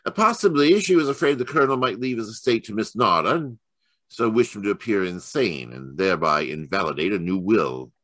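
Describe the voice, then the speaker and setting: An actor playing a cool, precise gentleman detective in an old-time radio mystery. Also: fairly low pitch, expressive, fairly quick, no echo. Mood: coolly explanatory.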